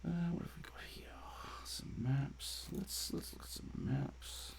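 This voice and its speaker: A man's voice: medium pitch, fairly even intonation, slow, vocal fry, in a whisper. A man giving casual, unscripted gameplay commentary, rambling off on tangents as he explains game mechanics in detail. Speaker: game streamer and narrator of a playthrough.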